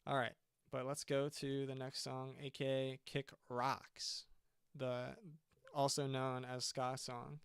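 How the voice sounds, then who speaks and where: A man's voice: mid-range voice, monotone, slow pace, gravelly, smooth, no room sound, studio quality. A young man, a band's singer, chatting casually on a livestream and often stopping to restart his sentences. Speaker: singer and band frontman.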